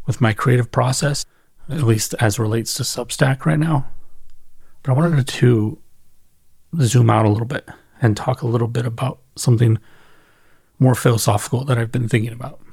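A middle-aged man with a husky voice speaks into a dry, close recording. He talks like a podcast host leaving a long, personal voice message, casual and reflective, often rephrasing as he goes. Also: medium pitch, darkish tone, no room sound. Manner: conversational, thinking aloud, self-correcting. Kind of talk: casual reflective solo audio monologue.

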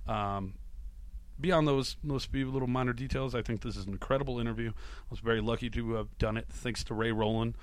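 A man's voice: mid-range voice, steady tone, fairly quick, clean tone, dry recording, poor audio. A man hosting a podcast, rambling casually through an introduction in an informal, self-deprecating way. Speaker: podcast host.

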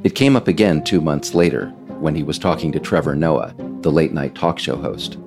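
Very deep-voiced man narrating, slightly gravelly. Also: expressive, a little fast, over music. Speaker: host and narrator of a podcast series. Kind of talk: scripted narration, audio essay read aloud.

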